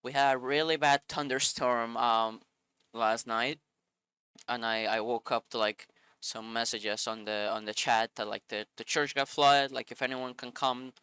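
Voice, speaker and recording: A sniffly man with a bright, crisp voice reads scripture and then shares his thoughts in an earnest, loose way, often stopping to find the right words. The sound is close and dry, with no room around it. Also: mid-range voice, steady tone, unhurried, no room sound. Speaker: Bible video host. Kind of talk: scripture reading then casual reflection.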